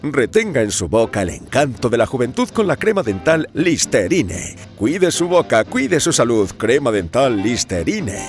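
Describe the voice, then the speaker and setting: A male announcer from delivers a vintage radio advertisement. His intonation swings in a lively way, with faint music behind him. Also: mid-range voice, normal speed, hardly any pauses.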